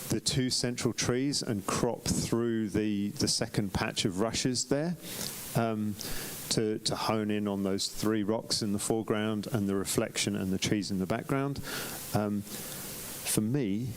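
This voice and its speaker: A man's voice: fairly low pitch, slow pace, slight background noise, rough recording. A man reviewing competition photographs on a live stream in a chatty way, often restarting sentences with ums. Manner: chatty, self-correcting, frequent ums and restarts. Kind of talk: live-streamed club critique.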